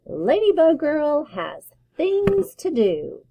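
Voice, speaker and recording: A woman's voice: high-pitched, wildly swooping pitch, takes it slowly, warm tone, no room sound, voice pushed up, heavily acted. A woman voicing an eager little girl who plays a superhero, pleading and emphatic. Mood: playful.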